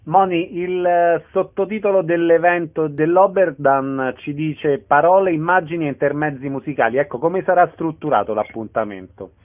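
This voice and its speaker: A man's voice: a little high, moderate pace, hardly any pauses, phone-quality sound. A man hosting a radio interview in a courteous style.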